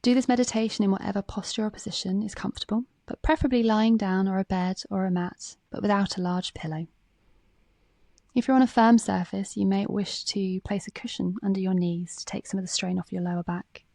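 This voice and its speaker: A woman reading a guided meditation script in a calm, gentle, measured way, with phrases that soften at the ends. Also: mid-range voice, vocal fry, no room sound.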